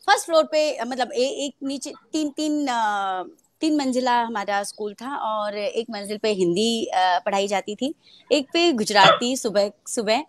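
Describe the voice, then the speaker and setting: A young actress from Mumbai talks casually in an interview, her voice sitting a little high. She laughs often and fills her pauses with hesitations as she tells her story. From Mumbai, India.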